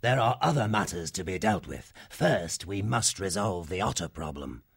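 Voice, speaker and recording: A man's voice: low-pitched, expressive, no room sound, studio quality, full character voice. A man voicing a cruel, menacing male wildcat warlord in a storybook reading, speaking with silky, mocking menace. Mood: cold. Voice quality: gravelly, husky, slow and deliberate.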